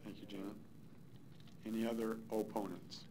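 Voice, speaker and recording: A man's voice: lowish voice, flat delivery, moderate pace, warm tone, rough recording. A man chairing a public hearing in a council chamber, moderating in short procedural phrases. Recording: clear background noise, public meeting room.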